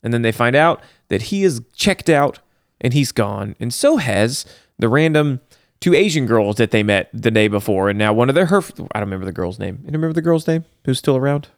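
A man in a casual podcast chat, recounting a film with animated, laughing energy. His voice sits in a middle register, and the mic sound is dry and close. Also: medium pitch, brisk, no echo. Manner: animated, laughing, talks through the plot. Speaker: podcast co-host recapping a movie.

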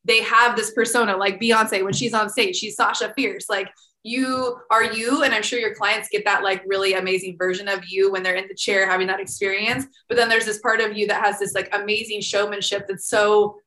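A woman hosting a casual podcast interview, her voice bright and forceful. She gushes with enthusiasm and keeps filling her talk with "like", and the sound comes back off an echoey room. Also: medium pitch, little pitch movement, fairly quick. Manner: gushing, enthusiastic, heavy use of "like" and "literally". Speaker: podcast host.

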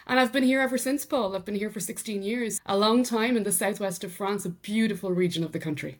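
A middle-aged woman with an Irish accent, a podcast guest telling her story in relaxed conversation, laughing easily. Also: medium pitch, brisk. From Ireland. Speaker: podcast guest, sales enablement executive. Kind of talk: conversational interview.

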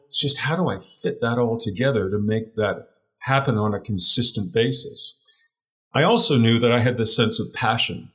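Guy in his 40s, deeper voice, interview guest telling his story, keeps restarting words, earnest. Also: fairly bright tone. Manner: earnest, repeats words while searching for phrasing.

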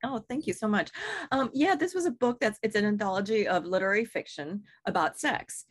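A woman from Singapore speaks as an author in an interview. She is chatty, fills her talk with ums and restarts, and laughs easily. Her voice sits in a middle pitch. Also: brisk. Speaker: author and editor. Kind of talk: interview conversation.

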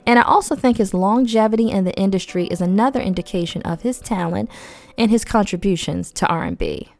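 A woman's voice: mid-range voice, expressive, a little fast, vocal fry, a little mellow. A woman hosting a casual podcast, stuffy and losing her voice from a head cold, reading facts with chatty, slangy asides. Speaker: podcast host.

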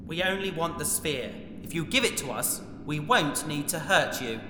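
A man's voice: a little high, normal speed, noisy background, natural voice. A man playing a cocky, bookish young adventurer in a sci-fi audio drama. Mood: confident. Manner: animated, cocky, wisecracking. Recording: loud music under voice.

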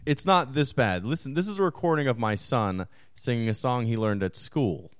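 A man in his thirties, from Maryland, co-hosts a loose podcast chat. His pitch swings up and down as he talks, and he often pokes fun at himself. The sound is dry and close, with no room echo. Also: lively intonation, normal speed. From Maryland, USA. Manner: laughs easily, self-deprecating. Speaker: podcast co-host. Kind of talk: casual chat.

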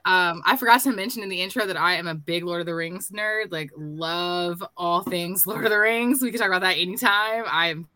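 An upbeat woman guest chats eagerly on a video-call podcast. Her voice sits lowish but bright. The sound is dry and close. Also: brisk, hardly any pauses, no echo. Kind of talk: casual group chat.

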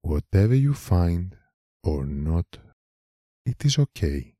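Very deep, slow male meditation guide. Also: no room sound, studio quality. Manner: calm, unhurried, measured with long pauses.

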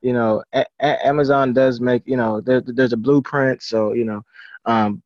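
A man talking casually in an interview as an encouraging coach, halting and repeating words as he goes. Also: mid-range voice, fairly even intonation, dry recording. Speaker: book publisher and author coach. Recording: dry, dull lo-fi audio.

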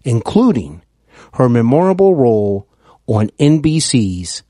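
A man hosting an interview podcast, reading a scripted promo with slow, deliberate delivery. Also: medium pitch, sing-song, mellow, no echo, clean studio sound. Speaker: podcast host.

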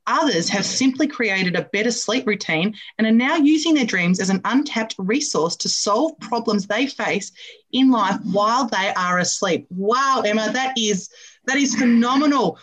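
A woman's voice: fairly high pitch, animated, moderate pace, a bit bright, low-quality recording. A woman hosting a radio interview show, introducing her guest with upbeat enthusiasm.

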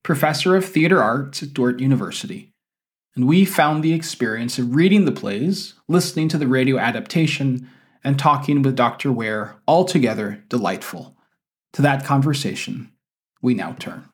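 A man hosting a podcast with measured, articulate phrasing, in the style of an educator presenting and interviewing. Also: medium pitch, expressive. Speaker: podcast host; university teacher. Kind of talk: scripted podcast introduction, then conversational interviewing.

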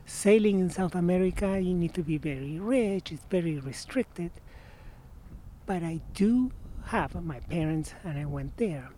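A man in his sixties with an Argentine Spanish accent, a guest telling stories in a casual interview outdoors by a marina, animated and often trailing off to restart his phrases. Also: high-pitched, unhurried, a little hiss or hum, poor audio. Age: 60s.

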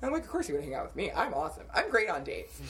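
A young woman co-hosting a podcast, telling dating stories in a casual, animated way and laughing often. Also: mid-range voice, rapid-fire. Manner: animated storytelling with frequent laughter. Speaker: podcast co-host. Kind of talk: casual chat.

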